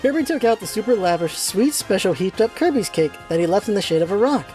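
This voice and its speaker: A woman's voice: mid-range voice, animated, fast, warm tone, soft background music. A woman reading scripted storybook narration as the narrator of a radio play.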